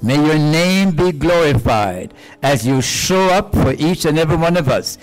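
A man in a church sanctuary, slowly finishing a prayer and asking the church to say amen. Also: fairly high pitch, expressive, low-quality recording. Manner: slow, prayerful. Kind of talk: closing prayer.

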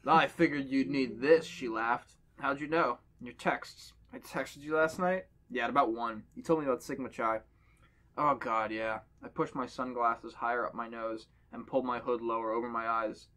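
A man's voice: medium pitch, fairly even intonation, moderate pace, fairly bright tone. A man on a podcast reading a horror story aloud to friends and joking between passages. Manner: reads dialogue aloud, jokes between passages. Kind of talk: casual reading with banter.

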